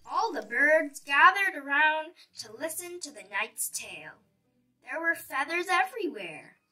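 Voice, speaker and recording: A woman's voice: sits high, sing-song, a little slow, sharp tone, distant mic, soft background music, low-quality recording. A woman reading a children's picture book aloud expressively, with dramatic storytelling emphasis.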